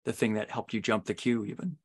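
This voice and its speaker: A man's voice: lowish voice, a little fast. A man hosting an interview in a conversational, discursive way. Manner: discursive, builds questions through anecdotes. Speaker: podcast host, interviewer.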